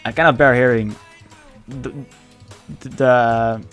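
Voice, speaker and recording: A man whose first language is Dutch speaks English with a Dutch accent in casual livestream chat. He talks haltingly, searching for words and often restarting. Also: mid-range voice, fairly even intonation, a bit bright, clean tone, soft background music. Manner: halting, searching for words, many repeated starts.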